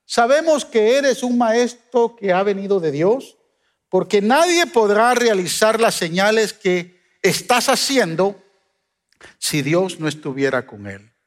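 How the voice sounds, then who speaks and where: A Guatemalan man preaching a sermon as pastor, with emphatic rises and sudden soft drops. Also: high-pitched, expressive, takes it slowly, big-room sound. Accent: Guatemalan Spanish. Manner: emphatic preaching, swings between loud peaks and soft drops.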